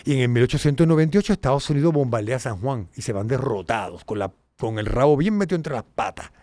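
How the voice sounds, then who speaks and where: Lively Puerto Rican man hosting. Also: quick pace, no echo. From Puerto Rico. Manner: animated, emphatic, swings between low asides and loud exclaims. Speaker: host. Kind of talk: casual educational talk.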